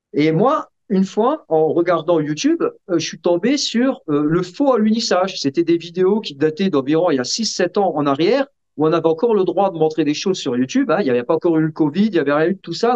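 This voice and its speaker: A man being interviewed, explaining casually in a halting way and often checking that the listener follows. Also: a little high, darkish tone. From Sicilian family background. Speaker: interview guest. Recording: dull, muffled lo-fi sound.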